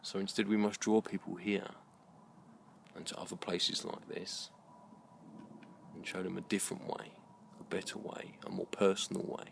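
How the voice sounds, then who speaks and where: A middle-aged British man speaks in a very low voice with a gravelly creak. He delivers a reflective monologue in short, deliberate phrases, earnest and halting. Faint ambient noise sits behind him. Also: level tone. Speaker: video blogger. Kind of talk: slow reflective monologue to camera.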